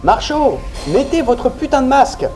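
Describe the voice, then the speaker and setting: Stern officer, firm clipped orders, lively delivery; a male narrator plays him. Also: medium pitch, moderate pace, soft background music, voice pushed up, close to an everyday voice. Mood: urgent. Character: commanding male lieutenant, stern and authoritative.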